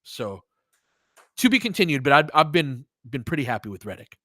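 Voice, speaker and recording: A man's voice: a little high, very animated, a little fast, bright, forceful tone, no room sound, studio quality. A man hosting a sports stream, chatting casually with listeners and giving analysis and commentary in a thoughtful, somewhat hesitant, conversational way. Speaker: sports podcast host and live play-by-play commentator.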